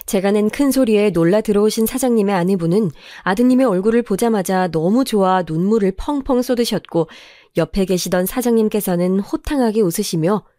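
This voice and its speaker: Woman narrator, warm low tone, calm first-person story reading, dry close sound. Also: a little fast, no room sound.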